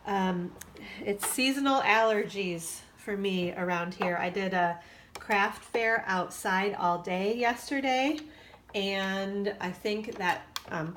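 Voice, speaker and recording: A woman's voice: mid-range voice, a bit bright. Woman, nasal and congested, casual craft demonstrator, slight background hiss. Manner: chatty, with fillers and asides. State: stuffy, nasal from allergies. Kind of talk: casual tutorial.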